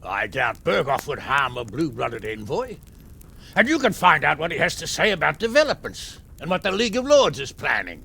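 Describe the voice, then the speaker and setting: An actor playing a cautious, practical medieval lord who speaks formally and decisively in a game cutscene. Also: male voice, on the high side, wildly swooping pitch, normal speed, gravelly, bright, forceful tone, husky, exaggerated voice. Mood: businesslike. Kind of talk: dramatic game cutscene acting.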